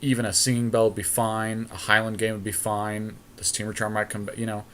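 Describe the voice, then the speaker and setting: Low-voiced man in casual chat. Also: flat delivery, fairly quick, fairly bright tone, a little hiss or hum. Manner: thinking aloud, weighing options. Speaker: podcast co-host. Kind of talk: casual chat while drafting a card game.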